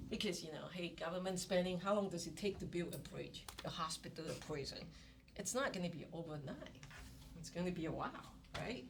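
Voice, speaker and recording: A woman's voice: lowish voice, gravelly. Chatty woman lecturing in a classroom. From Malaysia or Singapore area. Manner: chatty, acts out little scenes with exclamations. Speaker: economics lecturer. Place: university classroom. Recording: distant classroom recording with faint noise.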